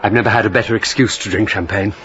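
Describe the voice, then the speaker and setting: An actor playing a courteous, devoted English country gentleman in a radio drama, tender and gentle in manner. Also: on the low side, steady tone, quick pace, close to an everyday voice. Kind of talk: period radio drama acting. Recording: dull recording with music behind. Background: music.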